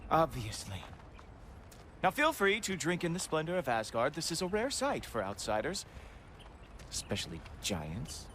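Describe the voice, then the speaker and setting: A man playing a haughty, condescending Norse guardian god in a video game, sarcastic and drawn-out in his delivery. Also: a little high, wildly swooping pitch, a bit bright, slight background noise. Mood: smug contempt. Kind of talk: video game cutscene acting.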